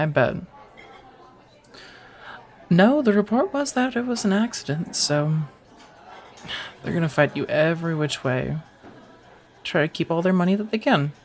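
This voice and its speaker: A woman playing a friendly, teasing firefighter on a date in an intimate, casual ASMR romance roleplay, chatty and reassuring. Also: sits low. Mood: supportive, firm. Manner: chatty, playfully wry, reassuring, sprinkled with soft laughs.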